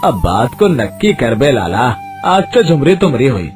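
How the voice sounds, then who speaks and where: A man voices an older village father in a folk tale. He is kind-hearted and rustic, bantering with widely varied intonation. Music sits clearly behind him. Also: mid-range voice, big pitch swings, measured pace. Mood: pleased. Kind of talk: Hindi moral story acting.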